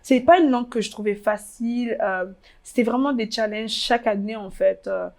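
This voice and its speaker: A woman's voice: fairly high pitch, moderate pace, a little mellow. A woman in her twenties from Congo, telling her story in a casual interview, hesitant with many fillers and restarts. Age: 20s. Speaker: interviewee, fashion blogger.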